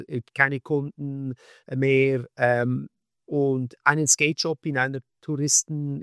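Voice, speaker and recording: A middle-aged man with a Swiss German accent, talking casually in an interview and often pausing with fillers and restarts. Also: mid-range voice, a bit bright, no room sound, clean studio sound. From Switzerland. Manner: hesitant, many fillers and restarts. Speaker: guest, skate shop owner and magazine maker.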